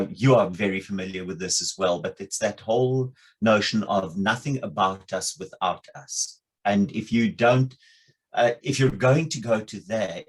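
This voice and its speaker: A man's voice: on the low side, slightly rough. A man speaking as a panelist on a video call, thoughtful and earnest, often repeating words as he works through a point. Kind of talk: panel discussion.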